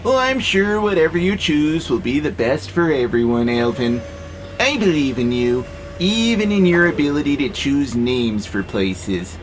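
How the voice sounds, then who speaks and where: A man's voice: on the high side, big pitch swings, unhurried, a little hiss or hum. A man acting in an audio drama as a wry pacifist warehouse robot, speaking in a dry, skeptical, laid-back way. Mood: dry, unswayed. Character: wry, easygoing pacifist male warehouse robot who loves nature.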